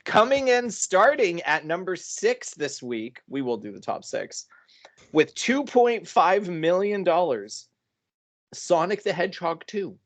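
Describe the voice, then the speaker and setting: Male podcast host, voice on the higher side, casual and playful, with an announcer streak. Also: sing-song, unhurried. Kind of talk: casual comedic chat.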